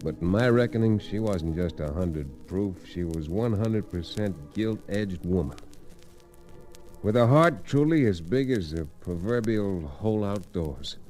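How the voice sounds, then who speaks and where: A man's voice: deep voice, animated, unhurried, a little mellow, faint music behind, rough recording. An actor with an American accent playing a tough, gallant frontier lawyer who tells his story in folksy style in an old radio western. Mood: wry storytelling. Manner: folksy, colourful turns of phrase. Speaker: narrator and lead. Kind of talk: old-time radio western narration and acting.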